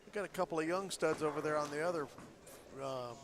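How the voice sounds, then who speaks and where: A man's voice: fairly high pitch, fast talker. A man chatting casually as a bowler on the commentary, stuffy-nosed, joking and laughing easily. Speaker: bowler joining the stream commentary. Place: bowling alley. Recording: crowd noise from a bowling alley. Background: bowling alley crowd noise.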